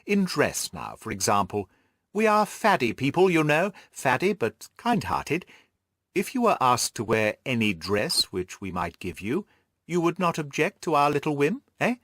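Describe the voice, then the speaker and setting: A man voicing a jovial, effusive, stout middle-aged gentleman in an audiobook, exclaiming and repeating his words genially. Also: fairly high pitch, very animated, normal speed, dry recording, studio quality, full character voice. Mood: genial, coaxing. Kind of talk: audiobook character voice.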